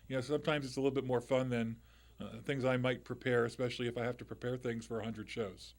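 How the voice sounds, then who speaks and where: A loud-voiced middle-aged man talks into a studio microphone, hosting a live call-in radio show. He chats loosely and conversationally, circling back with restarts and little asides. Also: medium pitch, level tone, fast.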